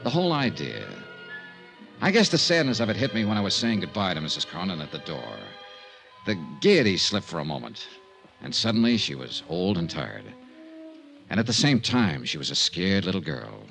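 A cool, hard-boiled American investigator in an old radio serial. His voice is low, forceful and lively in its swings, and he talks in clipped, wry lines as hero and narrator. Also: moderate pace, creaky, faint music behind, full character voice. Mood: somber. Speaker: lead and narrator. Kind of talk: 1950s radio detective acting and narration.

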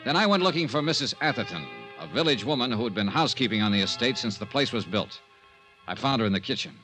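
An actor playing a tough, wry investigator, clipped and matter-of-fact, in a 1950s radio detective drama. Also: male voice, mid-range voice, lively intonation, quick pace, bright, forceful tone, soft background music. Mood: wry, hard-boiled. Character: tough, wry male insurance investigator narrating his case.

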